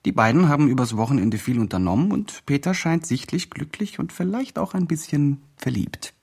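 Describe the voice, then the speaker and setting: A man's voice: fairly high pitch, animated, fairly quick, creaky, darkish tone, breathy edge, heavily acted. A man playing an earnest, emphatic promoter who presents a homeless man's online fundraising campaign in a radio drama. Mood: proud, narrating. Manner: earnest, emphatic, self-justifying. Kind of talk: scripted radio drama, mock video-blog narration.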